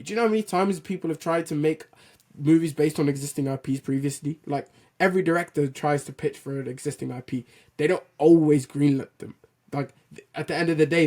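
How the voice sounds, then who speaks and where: Guy, loud voice, blunt movie-news host, animated and sweary. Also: on the high side. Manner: animated, blunt, swears casually, restarts sentences. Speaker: host of a film and TV news show. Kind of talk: opinionated solo commentary rant.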